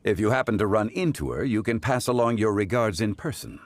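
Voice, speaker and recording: A man voicing a calm, learned, formal gentleman in a video game. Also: fairly low pitch, fairly quick, lightly acted. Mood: courteous. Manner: formal, refined. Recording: faint music behind.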